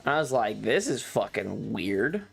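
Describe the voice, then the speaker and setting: A streamer rambling casually through a personal story in an exasperated, sweary way, with halting, repetitive phrasing. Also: medium pitch, sing-song, vocal fry, husky, soft background music.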